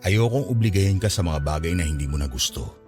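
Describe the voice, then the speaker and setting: A man's voice: low-pitched, expressive, fast, darkish tone. A Filipino man playing a patient, resigned older father in a radio drama, soothing and forgiving in his manner. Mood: sad, forgiving. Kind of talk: Tagalog radio drama acting. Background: background music.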